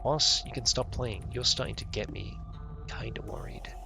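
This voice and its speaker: A man's voice: mid-range voice, normal speed, vocal fry, close to an everyday voice. A man voicing a mocking, foul-mouthed escaped suspect from a video game, cryptic and overly familiar, with music behind. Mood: insistent.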